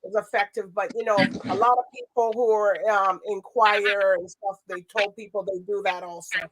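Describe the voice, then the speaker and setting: A woman's voice: medium pitch, steady tone, normal speed, slight background noise, poor audio. A woman hosting a talk show in a casual, chatty and wordy way. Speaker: show host.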